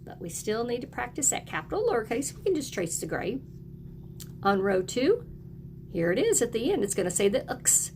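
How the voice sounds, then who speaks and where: A woman's voice: a little high, sing-song, moderate pace, a little mellow, a little hiss or hum. A woman teaching young children, encouraging them in short, simple phrases as she reads aloud and explains. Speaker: early elementary teacher.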